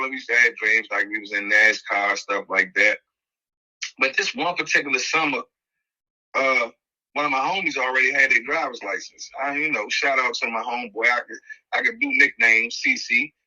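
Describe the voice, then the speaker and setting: A man with a Washington, D.C. accent speaks in a very sharp, forceful voice on slightly dull, lo-fi audio. He hosts casually, spinning a story in animated street slang and hammering phrases through repetition. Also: mid-range voice. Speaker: podcast host. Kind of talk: casual first-person storytelling.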